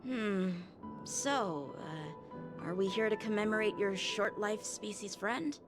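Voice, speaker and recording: A woman's voice: mid-range voice, slow pace, music in the background, natural voice. A woman playing a curious, sceptical young companion in a video game, casual and inquisitive. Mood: curious, teasing. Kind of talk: scripted video game dialogue.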